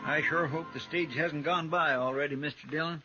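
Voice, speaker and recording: An actor playing a countrified, loyal, grumbling frontier deputy in a 1950s radio western, plaintive and deferential. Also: male voice, fairly high pitch, fairly even intonation, moderate pace, sharp tone, faint music behind. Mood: folksy. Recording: dull, hissy 1950s radio recording.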